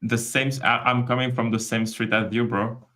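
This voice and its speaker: A man's voice: medium pitch, steady tone, normal speed, pushed and bright. A man hosting a podcast who teases his guests playfully and jokes around. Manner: playful, teasing. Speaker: host. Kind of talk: casual podcast chat.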